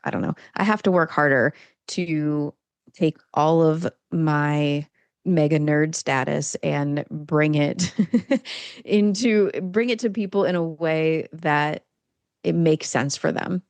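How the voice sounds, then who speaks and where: A woman with a low, gravelly voice speaks as a podcast guest. She answers eagerly and often lays ideas out in lists. The recording is dry and close. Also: takes it slowly, darkish tone, no echo. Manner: eager, affirming, explains in lists. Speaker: guest author and coach. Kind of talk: casual interview chat.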